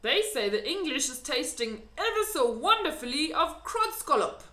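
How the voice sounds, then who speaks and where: Woman voicing an excitable, high-pitched storybook giant. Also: normal speed, exaggerated voice. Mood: eager, explaining. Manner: excitable, emphatic, quaintly mangled grammar. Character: friendly old male giant with muddled folksy grammar. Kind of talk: children's storybook reading. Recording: echoey room.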